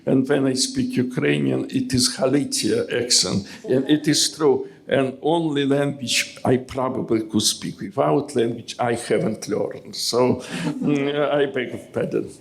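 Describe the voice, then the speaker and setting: Man, Russian accent, poet at a reading, slow, measured, creaky. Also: mid-range voice, fairly even intonation, a little hiss or hum. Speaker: poet reading his work. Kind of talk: poetry reading. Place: gallery reading event.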